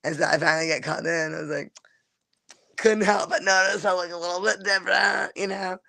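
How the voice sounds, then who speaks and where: A woman comedian talks in a gravelly voice on a podcast. She stumbles through stories with many restarts and acts out the quotes herself. The sound is dry and close. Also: fairly low pitch, fairly even intonation, brisk, sharp tone, no room sound. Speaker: stand-up comedian guest. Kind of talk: casual podcast chat.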